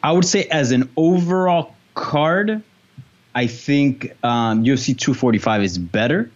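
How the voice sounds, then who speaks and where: A man's voice: medium pitch, animated, unhurried. A man co-hosting a fight show, giving opinions in a loose, conversational way peppered with filler phrases, on slightly dull audio. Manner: conversational, peppered with "like" and "you know". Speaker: co-host and MMA pundit. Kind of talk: casual sports talk and opinion.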